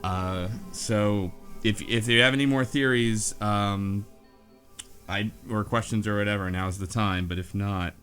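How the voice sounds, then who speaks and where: A man's voice: fairly low pitch, fairly even intonation, unhurried, a bit bright, soft background music. A man hosting a casual group discussion, thinking aloud with frequent restarts and asides. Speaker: podcast host.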